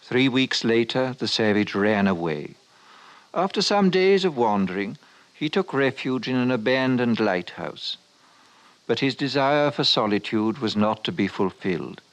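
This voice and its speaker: A man's voice: medium pitch, sing-song, a little slow, dry recording, rough recording. A man narrating a 1950s radio drama as a scripted storyteller. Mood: grave narration. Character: male storyteller narrating a dystopian radio play.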